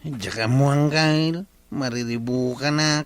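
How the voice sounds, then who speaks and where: A man's voice: mid-range voice, unhurried, no echo, lightly acted. An actor with an Ilocano accent playing a shy, simple, lovestruck village bachelor, drawing out the ends of his phrases. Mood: reluctant. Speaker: actor in a comedy drama.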